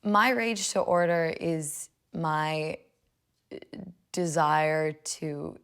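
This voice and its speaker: A young adult woman speaking in a thoughtful, measured way, as when reading poetry or reflecting on it. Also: low voice, a bit bright, clear, resonant tone. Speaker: poetry reader and commentator. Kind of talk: poem recitation and personal reflection.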